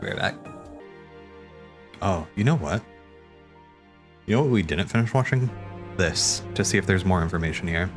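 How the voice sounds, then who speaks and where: A man with a low voice hosts a livestream with faint music playing behind him. He speaks informally and slangily, thinking out loud as he rambles through his commentary. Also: animated, moderate pace, long pauses. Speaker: livestream host. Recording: background music.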